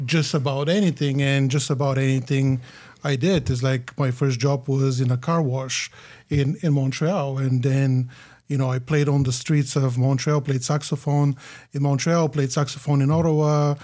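A man in his forties from Bulgaria with a Bulgarian accent, a podcast guest telling his story in a reflective, halting way with many fillers. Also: mid-range voice, steady tone, a little mellow. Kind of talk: casual podcast interview.

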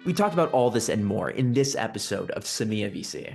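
Podcast host reading an intro over music. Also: male voice, fairly low pitch, big pitch swings, fast, vocal fry, darkish tone. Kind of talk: scripted episode introduction. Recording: music bed under the voice.

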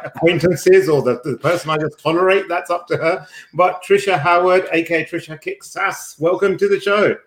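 Male host for casual show banter, dry and teasing, recorded with noticeable room echo. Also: on the high side. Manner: teasing, dryly joking. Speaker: podcast host. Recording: roomy, echoey sound.

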